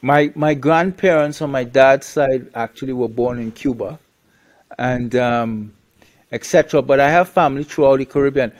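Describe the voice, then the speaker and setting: A man's voice: medium pitch, normal speed. A man from Trinidad, a guest on the show, talks bluntly in a casual interview, with many restarts and fillers.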